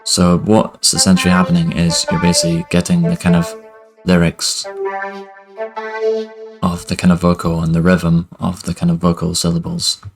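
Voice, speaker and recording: A young man with a low voice and a gravelly edge talks through a music production technique on a headset mic from his home studio. His explanation is relaxed and loose, full of "kind of" and "basically" as he clicks through the software. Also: normal speed. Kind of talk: screen-recorded software tutorial. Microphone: headset with webcam inset.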